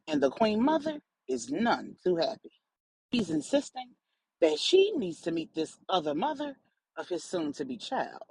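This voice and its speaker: A woman narrating a story dramatically, in deliberate short phrases with pauses between them. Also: medium pitch, vocal fry, a little hiss or hum, poor audio. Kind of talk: dramatic bedtime-story narration for grown-ups.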